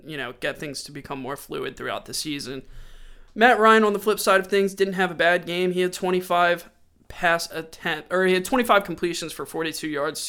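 A man's voice: a little high, pushed and bright, clear, resonant tone. A man with a stuffy, sore-throated voice hosting a casual sports podcast, running through game stats conversationally. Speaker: podcast host.

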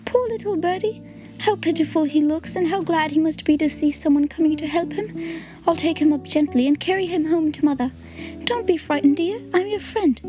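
A kind, cheerful little girl from a storybook, speaking gently and softly, as a woman reading aloud puts her on. Her voice sits very high, pitched up from the narrator's own already high tone, with soft music behind it. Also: fairly quick, natural voice. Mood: tender.

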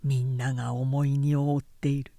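A woman voicing a serious, polite samurai father in a measured, solemn storybook reading. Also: very deep voice, level tone, dark tone, no echo, pitched below the performer's own voice. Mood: grave, reflective. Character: anxious, polite samurai father, grave and brooding.

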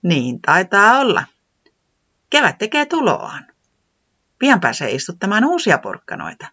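Nainen esittää iloista, vieraanvaraista säämiestä korkealla äänellä tuhkaisessa äänitteessä. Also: female voice, medium pitch, huge pitch swings, bright, forceful tone, husky, muffled recording, voice pushed up, heavily acted. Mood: beaming. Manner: friendly, beaming, hospitable. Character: cheerful hospitable weather man, eager and chatty.

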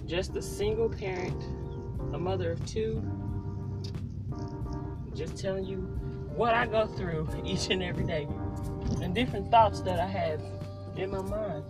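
Young woman chatting on her podcast, casual and informal, loud music behind. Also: mid-range voice, unhurried, long pauses, poor audio. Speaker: podcast host.

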